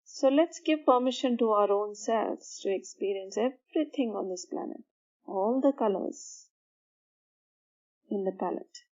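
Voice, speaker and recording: A woman guiding a sleep meditation in a soothing, measured manner, pausing between short phrases. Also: medium pitch, a little mellow, lo-fi sound. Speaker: meditation guide. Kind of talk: guided meditation for sleep, slow instruction.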